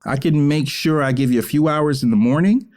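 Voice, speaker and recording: A man playing a warm, teasing middle-aged father in an audio drama, encouraging and gently lecturing. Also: on the high side, a little fast, lightly acted. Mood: proud, encouraging.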